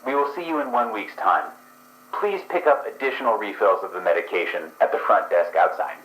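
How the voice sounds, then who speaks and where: A man's voice: lowish voice, fairly quick, fairly bright tone, echoey room, a little hiss or hum, lightly acted, rough recording. A man voicing a coolly polite researcher running a drug trial, speaking in a clinical, formal way.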